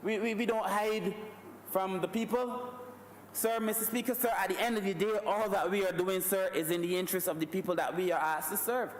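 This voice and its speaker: A man's voice: high-pitched, steady tone, brisk, slight background noise. A Barbadian man giving a rhetorical parliamentary speech, repeatedly addressing the Speaker as sir. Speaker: member of parliament. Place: large parliament chamber. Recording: echoey chamber, distant mic.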